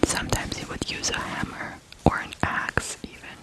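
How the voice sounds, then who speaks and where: An ASMR host from Ukraine narrating true crime in a hushed, deliberate way, pausing between short phrases.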